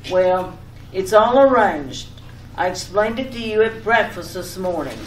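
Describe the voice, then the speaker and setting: A woman's voice: medium pitch, animated, unhurried, lightly acted. A woman playing a fussing, affectionate, nagging wife in an old-time radio comedy skit, often repeating herself. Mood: insistent. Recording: echoey room, distant mic, background noise.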